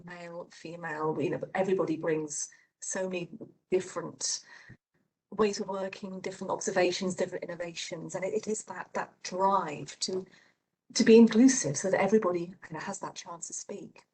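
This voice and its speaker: A woman with a slightly low voice leads a panel discussion. She frames long questions in a measured way, sometimes restarting a phrase mid-sentence. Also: fairly even intonation, darkish tone, rough recording. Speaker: panel moderator.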